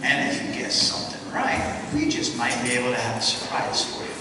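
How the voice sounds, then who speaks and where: A man's voice: medium pitch, sing-song, fairly quick. A man narrating a staged radio play and quizzing children in the audience, friendly and encouraging. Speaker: narrator and host. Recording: echoey hall, mic far off, noisy.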